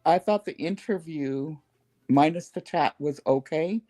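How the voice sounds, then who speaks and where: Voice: medium pitch, a little slow, mellow. A panel host in casual chat who teases and speaks bluntly. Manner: teasing, blunt.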